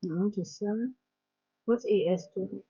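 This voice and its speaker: A woman's voice: mid-range voice, measured pace, mellow, husky, muffled recording. A woman from the Netherlands with a light Dutch accent, chatting frankly on her own live trading stream, rambling with many fillers and restarts. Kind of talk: casual live-stream chat. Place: home in South Africa.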